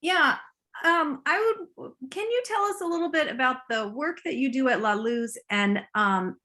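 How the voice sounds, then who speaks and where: A woman on a video call meeting, taking roll and asking questions in a brisk, businesslike way. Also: mid-range voice, a bit bright. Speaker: meeting clerk.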